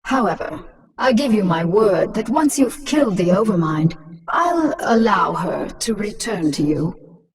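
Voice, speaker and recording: A woman playing a ruthless, cunning alien hive queen in a sci-fi game, with a smug, mocking and deliberate delivery. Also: medium pitch, very animated, far from the mic. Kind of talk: dramatic sci-fi game voice acting.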